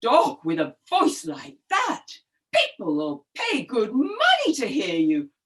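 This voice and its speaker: A woman's voice: high-pitched, huge pitch swings, takes it slowly, full character voice. Woman voicing a braying, spirited old storybook donkey. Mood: delighted. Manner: theatrical, exclamatory, braying "Eeyore".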